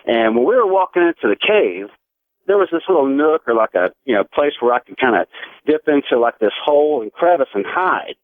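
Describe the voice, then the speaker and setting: A man's voice: medium pitch, big pitch swings, a little fast, dry recording. An American man in his forties calling a radio show, earnestly recounting a personal story in a conversational way. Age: 40s. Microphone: telephone. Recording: tinny narrow phone line on radio.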